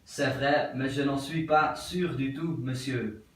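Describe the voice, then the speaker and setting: A man playing a prim, politely puzzled middle-aged English wife in an absurd stage play, with formal, measured, repetitive speech. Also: mid-range voice, steady tone, a bit bright. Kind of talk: theatre acting of an absurd play. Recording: very echoey room, voice from far off.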